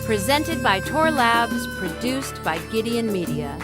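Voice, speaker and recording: A woman's voice: on the high side, very animated, unhurried. A woman announcer giving a scripted read of audio drama credits. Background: music.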